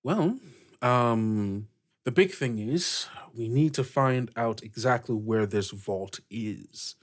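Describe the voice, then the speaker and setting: A man playing an earnest, weary fantasy sea captain in a halting, deliberate way. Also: medium pitch, close to an everyday voice. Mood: uncertain.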